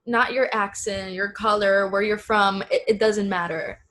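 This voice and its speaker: A woman's voice: mid-range voice, steady tone, normal speed, a bit bright. A woman hosting a podcast, supportive and encouraging as she talks with her guest. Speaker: podcast host. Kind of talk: casual conversational interview.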